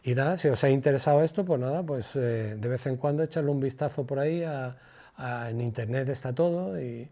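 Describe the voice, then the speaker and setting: An older man with a Spanish accent from Spain gives an informal lecture, colloquial and digressive, halting and repeating words as he goes. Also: medium pitch, warm tone. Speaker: guest lecturer, former radio presenter. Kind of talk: informal public lecture with personal anecdotes.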